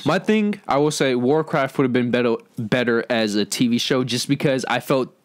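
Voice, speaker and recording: A man's voice: mid-range voice, normal speed. A man hosting a casual, opinionated film discussion among friends in informal, slangy speech. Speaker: host of an entertainment discussion show.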